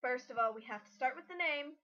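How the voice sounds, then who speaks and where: High bright woman, blunt critic. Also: quick pace. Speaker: guest commentator, critic. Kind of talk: casual critical commentary. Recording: dull lo-fi home recording.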